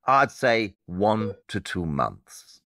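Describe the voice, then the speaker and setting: A low-voiced, logical detective, played by a man, in an audio drama, recorded close and dry. He is precise and condescending, his deadpan wit carried on lively swings of pitch. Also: very slow, no echo, full character voice. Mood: assertive.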